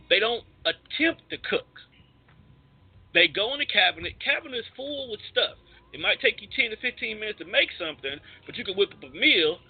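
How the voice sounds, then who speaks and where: A man with an American accent and a husky voice, hosting a casual debate, making his points emphatically and repeating them. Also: high voice. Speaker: podcast host. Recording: thin phone-like audio.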